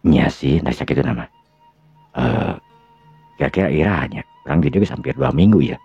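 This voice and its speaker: Man acting a deep, gravelly easygoing Sundanese husband. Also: moderate pace, no room sound, exaggerated voice. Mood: casual. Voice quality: gravelly, husky. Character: easygoing husband in a domestic radio drama. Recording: dull lo-fi recording with music.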